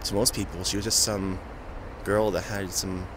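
A young man performing a first-person audio roleplay, confessing in a halting, reluctant way with frequent sighs, tearful as he talks. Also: deep voice, flat delivery, noisy background.

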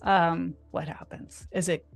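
A woman's voice: on the low side, fairly even intonation, takes it slowly, vocal fry. A woman talking on a video call, walking through a design tool in a live tutorial with a chatty, eager manner. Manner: chatty, enthusiastic. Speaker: guest designer demonstrating software. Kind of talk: live screen-share tutorial.

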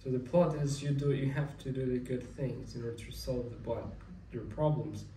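A young man around twenty muses aloud at an ordinary pitch, rambling and wistful, restarting sentences as he thinks. He sits back from the mic in an echoey room, with a faint ambient hum behind him. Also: fairly even intonation, normal speed, warm tone, far from the mic. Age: young adult. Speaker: vlogger. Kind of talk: casual musing monologue.

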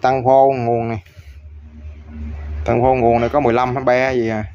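A man's voice: mid-range voice, level tone, moderate pace, a little mellow, lo-fi sound. A man with a Southern Vietnamese accent chatting casually on site as a repairman, filming on a handheld phone. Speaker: repairman vlogger. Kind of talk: casual on-site chat while checking equipment. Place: tin-walled home garage. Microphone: handheld phone camera. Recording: music playing behind, handheld on-site.

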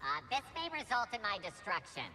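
A man's voice: high-pitched, very animated, a little fast, bright, forceful tone, exaggerated voice. A man voicing a hapless, anxious battle droid in a video game, with a nasal, robot-filtered voice and a naive, literal-minded manner. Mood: uneasy, doubtful. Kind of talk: video game character dialogue. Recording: noisy game capture, long reverb.

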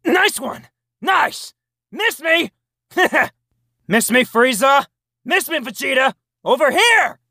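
Confident anime fighter hero, strained gravelly edge, super high for a man, cocky battle talk and yells. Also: lively intonation, slow, long pauses, dry recording, studio quality, heavily acted. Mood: cocky, determined. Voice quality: strained, forceful shouting. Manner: cocky, dramatic battle delivery. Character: confident, battle-hungry male anime martial-arts warrior hero. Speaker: amateur voice actor. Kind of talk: anime voice reel of grunts, yells and battle lines.

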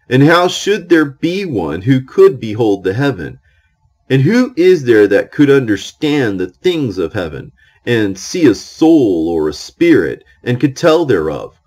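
A man reading ancient scripture aloud in a solemn, declamatory way, with measured phrasing and deliberate pauses. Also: mid-range voice, a little mellow. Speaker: audiobook reader of scripture.

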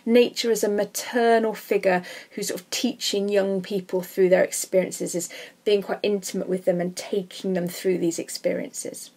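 A woman's voice: medium pitch, little pitch movement, moderate pace, darkish tone. A woman teaching English literature in an informal, explanatory lesson voice-over.

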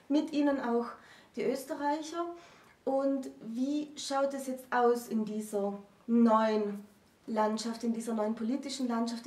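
Woman in her 30s explaining stuff like a host, voice on the high side, roomy room sound. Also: a little mellow. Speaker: host presenting a literature discussion. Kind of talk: conversational educational presentation. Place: small book-lined room, indoors. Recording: roomy sound, distant microphone.

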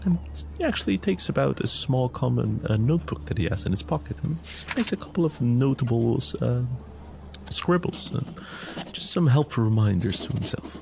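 A man playing a tabletop game, describing his character in a halting, deliberate, formally worded way. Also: mid-range voice, very animated, gravelly, noisy background. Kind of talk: descriptive improvised character narration.

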